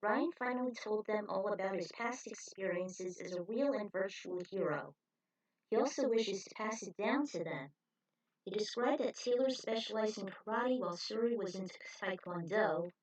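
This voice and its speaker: A woman's voice: mid-range voice, rough recording. Female amateur author-narrator, reading own fan fiction aloud.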